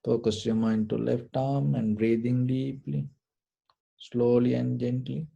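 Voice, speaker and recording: A man leading a guided meditation, giving short, deliberate instructions in a gentle, soothing manner. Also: medium pitch, little pitch movement, gravelly, slightly rough, lo-fi sound. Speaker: meditation teacher.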